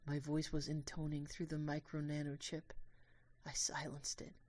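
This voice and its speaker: Woman acting anguished young storyteller, lower voice. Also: normal speed. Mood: grieving. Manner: emotional, intense, expressive. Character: anguished, devoted young human narrator named Lucas. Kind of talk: dramatic first-person audio drama narration.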